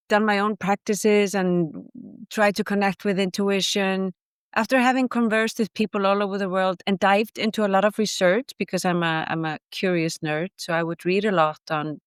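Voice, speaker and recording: Icelandic woman, english not her first language, smooth clear voice, dry close sound, talks it through slow and thoughtful. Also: medium pitch, no echo. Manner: reflective, thoughtful explaining. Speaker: author and guest. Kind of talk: podcast interview.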